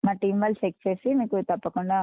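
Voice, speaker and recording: A woman handles a customer support call at a brisk, quick pace. She is polite, apologetic and reassuring, addressing the caller deferentially. The sound is a narrow, tinny telephone recording, close and dry. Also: medium pitch, little pitch movement, no echo. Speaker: customer care agent. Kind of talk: phone customer support, polite scripted phrases. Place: on a phone line.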